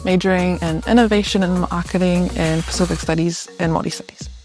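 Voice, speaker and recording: Lower-voiced young woman student. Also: steady tone, a little fast, a little mellow, faint music behind. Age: young adult. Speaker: commerce student.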